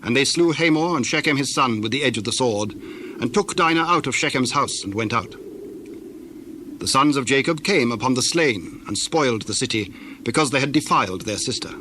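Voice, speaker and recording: A man narrating scripture from a script in a formal, measured storytelling manner. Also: medium pitch, a bit bright, slight background noise.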